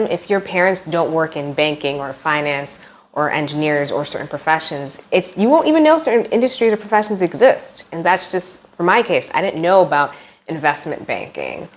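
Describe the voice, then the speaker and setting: Frank American woman, lowish voice. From United States. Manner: frank and opinionated, with frequent "like" asides. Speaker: expat vlogger. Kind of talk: casual talk to camera.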